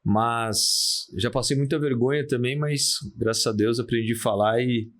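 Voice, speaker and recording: Calm Brazilian man, halting speech. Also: medium pitch. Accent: Brazilian Portuguese. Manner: calm, halting, many restarts and "né?" tags. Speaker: podcast guest, entrepreneur. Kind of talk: casual interview talk.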